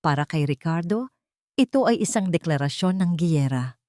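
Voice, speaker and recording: Woman, story narrator, low-leaning voice, dramatic scripted delivery, deliberate emotive phrasing, very little room sound. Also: lively intonation, a little mellow, dry recording, studio quality.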